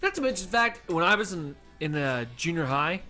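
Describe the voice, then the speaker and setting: A man co-hosting a radio comedy show, telling stories in casual banter and swearing freely as he gets animated. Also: a little high, brisk, faint music behind. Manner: profane, animated storytelling. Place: radio studio.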